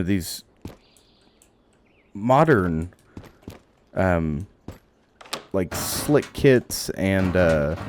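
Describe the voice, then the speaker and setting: Male game streamer, low creaky voice, casual and sweary, talks to himself; noisy recording. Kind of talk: casual gameplay commentary, thinking aloud. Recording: audible background noise, clicks.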